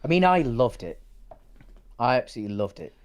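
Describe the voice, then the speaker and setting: A man's voice: mid-range voice, big pitch swings, a little fast, smooth. A man co-hosting a casual chat about films, agreeable and a little tentative, often putting in short interjections. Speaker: podcast co-host.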